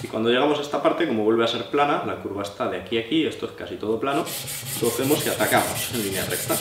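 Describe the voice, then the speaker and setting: A man's voice: medium pitch, lively intonation, fast talker, noisy background. A man with a La Mancha Spanish accent, explaining step by step how to sharpen a blade while he works at the stone. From Albacete, Spain. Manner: explanatory, practical, thinking aloud while working. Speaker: knife sharpening instructor. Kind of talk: hands-on tutorial demonstration. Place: indoor room at a table, working with a sharpening stone. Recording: roomy, distant sound with scraping of blade on stone.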